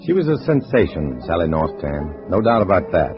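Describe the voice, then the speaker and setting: A low, lively male voice gives us a hard-boiled undercover agent in an old radio spy drama. He is terse and wryly dry. The recording is muffled, with a faint hiss. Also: moderate pace, music in the background. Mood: narrating, sardonic. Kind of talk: 1950s radio drama acting and first-person narration.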